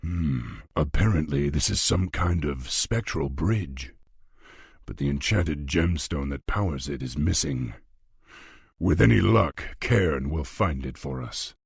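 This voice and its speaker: A man playing a stern, thoughtful young orc war chief in a fantasy video game, with a deep, gravelly, husky voice. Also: expressive, slow, no echo, studio quality, full character voice. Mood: measured.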